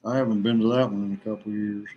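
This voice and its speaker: A man's voice: on the low side, flat delivery, racing, lo-fi sound. A man speaking as a guest in a casual, conversational podcast interview. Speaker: podcast guest, veteran kayak angler. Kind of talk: casual chat.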